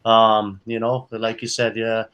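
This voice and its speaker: A man's voice: lowish voice, monotone, a little slow, a bit bright, highs cut off. A man from Canada, a coach chatting casually in an earnest, rambling way and often repeating phrases. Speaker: jiu-jitsu coach. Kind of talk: casual chat.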